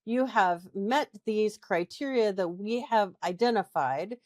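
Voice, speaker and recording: A woman's voice: mid-range voice, animated, slow, darkish tone, no room sound, clean studio sound. A woman co-hosting a podcast, explaining her points at length and often checking in with "right?" and "okay?". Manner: explanatory, frequent "right?" tag questions. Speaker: podcast co-host. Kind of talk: casual podcast discussion.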